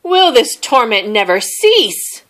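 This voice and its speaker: An easily exasperated gentleman bear from a picture book, played by a woman. He is pompous and fussy, with dramatic emphasis and big swings in pitch. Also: very animated, slow, full character voice. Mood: outraged. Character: fussy, easily exasperated male bear who craves quiet. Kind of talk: children's storybook character voice.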